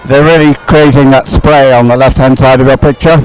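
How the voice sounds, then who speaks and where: A man's voice: medium pitch, steady tone, a little fast, clean tone, rough recording. A man giving live race commentary in a conversational, searching way. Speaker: sports commentator. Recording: music playing behind outdoor live broadcast. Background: music.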